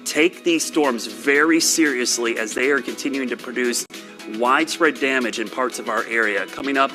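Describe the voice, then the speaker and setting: A man's voice: medium pitch, moderate pace. Urgent male TV weatherman over prominent music. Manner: urgent, direct instructions to viewers. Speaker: TV weather forecaster. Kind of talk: live severe weather broadcast commentary.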